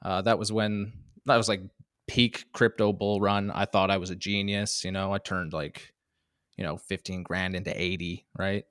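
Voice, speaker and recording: A man's voice: low voice, steady tone, moderate pace, a bit bright, clear, resonant tone, no room sound, studio quality. A young adult man from Canada with a Canadian accent, chatting casually as a comedian guest on a podcast, joking and laughing often between filler words. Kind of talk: casual chat.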